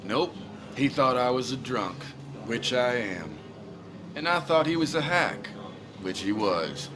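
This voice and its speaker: An actor playing a cynical small-town drunk in a video game, blunt and teasing with a sardonic manner. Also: male voice, medium pitch, animated, slow pace, background noise.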